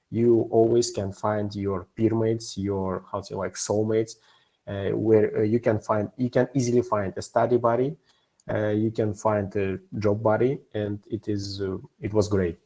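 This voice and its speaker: Student ambassador, young male from Kazakhstan; lowish, dark, relaxed voice, non-native English, informal testimonial. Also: normal speed. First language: not English. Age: young adult.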